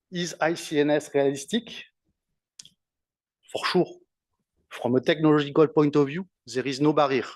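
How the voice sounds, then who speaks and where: A man giving closing remarks on a conference panel, pausing often and restarting phrases. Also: medium pitch, no room sound. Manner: halting, many fillers and restarts.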